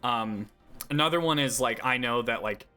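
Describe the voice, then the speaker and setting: A man's voice: medium pitch, normal speed, smooth. A young man from California with a Californian accent, chatting casually as a streamer while playing, full of "like" and "um". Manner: relaxed, many "like" and "um" fillers. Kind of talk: casual chat while playing and reading game text aloud.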